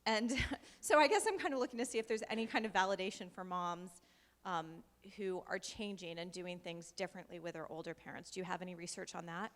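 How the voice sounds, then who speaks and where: A mother in the audience asking an earnest, somewhat halting question after a talk. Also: fairly low pitch. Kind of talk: question from the floor. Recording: long reverb of a lecture hall.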